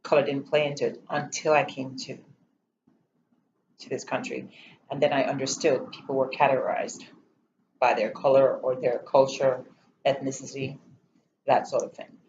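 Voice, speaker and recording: A middle-aged woman from Jamaica with a Jamaican accent, recounting her life story in an interview in a measured, candid way. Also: rumbling low, flat delivery, darkish tone. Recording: slightly muffled, faint room noise.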